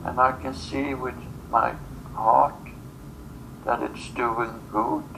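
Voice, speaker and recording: Halting, very creaky non-native English-speaking man. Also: mid-range voice, steady tone, background noise. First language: not English. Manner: halting, fragmented phrasing with long pauses. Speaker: subject of a channeling session. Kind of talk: trance channeling in guided session.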